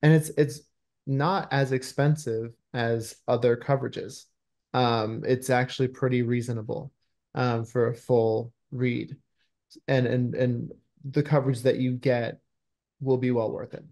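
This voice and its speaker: A man in his thirties gives casual interview answers over a video call from a room at home. He often pauses to search for his words as he explains things, with a medium-pitched voice. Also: little pitch movement, a little mellow. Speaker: screenwriter and interview guest. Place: small room at home, indoors.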